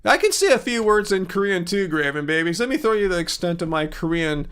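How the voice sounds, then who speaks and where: Host, male, middle-aged, high-pitched, rambling casual chat reading comments. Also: very animated, fast, fairly bright tone, clean tone. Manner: chatty, rambling, many fillers and restarts. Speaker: livestream host answering chat.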